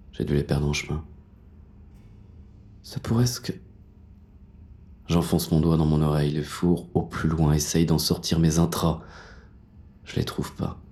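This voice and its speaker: A man narrating audio fiction as a battered, exhausted, haunted male survivor, in a very deep, sometimes breathy voice with slow, halting, dramatic fragments. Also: darkish tone. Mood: haunted, grim.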